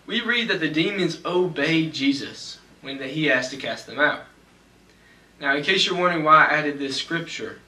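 A young man preaching a sermon from a church pulpit, reading scripture slowly and deliberately. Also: a little high, pushed and bright. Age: young adult. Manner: measured, slows for scripture reading. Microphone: pulpit mic, far camera. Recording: echoey church from far off.

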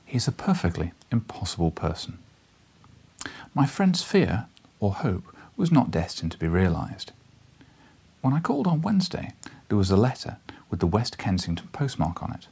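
A man's voice: sits low, vocal fry, dark tone, breathy edge. A man in his thirties reading a literary story expressively as an audiobook narrator. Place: small book-lined living room, indoors.

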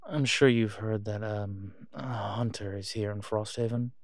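Man playing a low, halting, guarded guy. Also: fairly even intonation, no echo, studio quality. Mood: guarded, reluctant. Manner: hesitant, halting, low-key. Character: awkward, guarded man discreetly seeking gear to protect someone. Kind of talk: naturalistic audio roleplay acting.

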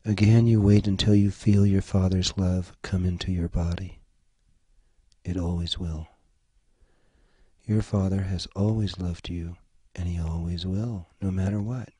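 A man leading a guided meditation, giving gentle, soothing instructions in short phrases. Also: low-pitched, steady tone, unhurried, slightly rough, dry recording. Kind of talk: slow guided meditation narration.

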